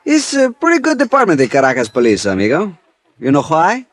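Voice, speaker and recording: An actor playing a genial, sly Venezuelan police chief with a Spanish accent and a teasing, drawn-out delivery in an old-time radio drama. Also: male voice, fairly high pitch, wildly swooping pitch. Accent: Spanish-accented English.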